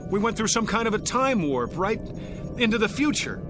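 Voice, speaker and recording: An actor playing a stubborn, commanding spaceship captain in a radio drama, speaking with insistent authority. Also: male voice, sits high, big pitch swings, moderate pace. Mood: intense, reasoning. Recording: classical music playing behind the voice.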